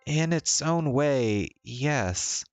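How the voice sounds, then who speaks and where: A man's voice: mid-range voice, expressive, very slow, darkish tone, clean tone, dry recording, soft background music, pitched above the performer's own voice. A man voicing a tired, teasing and troubled young woman in a visual novel, with a casual, hesitant delivery. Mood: weary, uneasy. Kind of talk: visual novel dialogue read-aloud.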